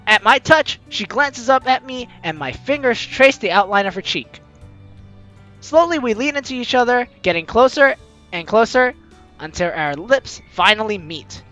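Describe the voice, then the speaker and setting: A woman's voice: fairly high pitch, lively intonation, moderate pace, a bit bright, lo-fi sound, faint music behind. A young woman reading a visual novel aloud as a gaming host, with theatrical, teasing asides. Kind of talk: animated let's-play read-aloud and commentary.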